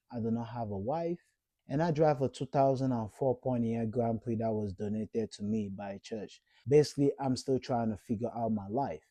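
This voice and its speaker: A man's voice: mid-range voice, mellow, no room sound. A man in his thirties from Cameroon, giving an earnest, encouraging motivational talk to camera and repeating points for emphasis. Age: 30s. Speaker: vlogger.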